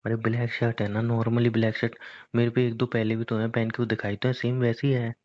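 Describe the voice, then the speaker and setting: A man's voice: mid-range voice, completely flat delivery, a little fast, close to an everyday voice. A young man playing a lazy, evasive boyfriend in an acted phone-call drama, making casual, whiny, defensive excuses. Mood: sheepish, stalling. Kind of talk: acted phone-call conversation between a couple.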